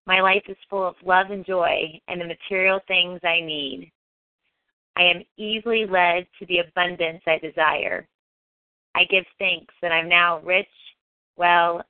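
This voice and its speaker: A woman reading scripted affirmations as a meditation guide, in a measured, declarative way. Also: fairly low pitch, clean tone, no room sound. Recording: dull, band-limited close dry recording.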